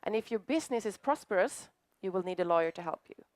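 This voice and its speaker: A middle-aged woman introducing a seminar and its guest in a friendly, halting way. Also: medium pitch, animated, a little mellow, no room sound. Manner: friendly, halting, many fillers. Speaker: event host.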